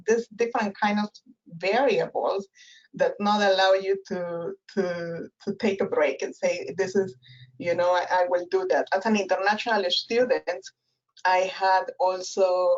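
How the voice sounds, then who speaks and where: A woman's voice: medium pitch, steady tone, a little slow. Woman, Spanish accent, Spanish native speaker, webinar guest on a video call, reflective and hesitant delivery, dull lo-fi audio. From Spanish-speaking country. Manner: thoughtful, many fillers and restarts. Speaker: guest professor. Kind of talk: webinar interview.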